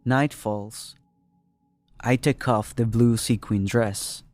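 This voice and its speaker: A man's voice: mid-range voice, steady tone, slow pace, a little mellow. Man narrating a story over prominent music. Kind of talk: scripted first-person story narration.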